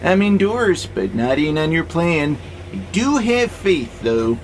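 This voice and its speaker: A man's voice: a little high, sing-song, slow, slight background noise, exaggerated voice. A man acting in an audio drama as a wry pacifist warehouse robot, speaking in a dry, skeptical, laid-back way. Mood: dry, unswayed. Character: wry, easygoing pacifist male warehouse robot who loves nature.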